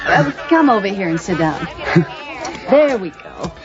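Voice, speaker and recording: An actress playing a flirtatious, worldly party hostess with a coy, teasing manner in a comic audio drama. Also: female voice, mid-range voice, very animated, unhurried, over a noisy crowd, heavy background noise. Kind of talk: audio drama comedy acting. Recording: muffled audio under loud music. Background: loud music.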